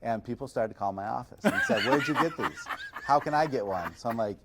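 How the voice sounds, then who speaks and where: A middle-aged man, a chiropractor, answering interview questions in a relaxed, self-deprecating, chatty way. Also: medium pitch, a little fast, very noisy. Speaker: chiropractor and massage chair reviewer. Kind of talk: casual podcast interview answers.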